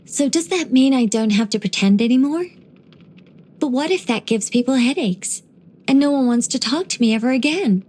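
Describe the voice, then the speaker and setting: A woman voicing an ancient angel in a video game, dignified yet whimsical and chatty, with a measured, gently playful delivery. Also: high voice, expressive. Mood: playfully fretting. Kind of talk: video game character voice lines.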